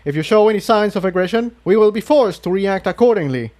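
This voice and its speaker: A man's voice: high-pitched, expressive, fast, a little mellow, voice pushed up. A man voicing a nervous male pony guard in a storybook, with a stilted, stumbling, formal delivery as if reciting.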